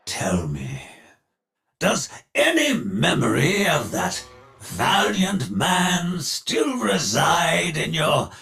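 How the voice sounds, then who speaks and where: An actor playing a ruthless, grandiose older industrialist villain in a video game, speaking in a theatrical, pompous and declamatory way with a gravelly voice. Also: male voice, sits high, big pitch swings, bright, forceful tone, faint music behind, exaggerated voice. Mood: sly, goading. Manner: pompous, declamatory, slow deliberate phrasing.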